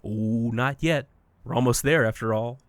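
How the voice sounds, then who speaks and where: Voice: mid-range voice, moderate pace, dry recording, natural voice. An actor playing a smug, playful, unreal best friend in an audio drama, teasing and condescending in a sing-song way. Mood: amused, patronizing. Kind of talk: audio fiction podcast acting.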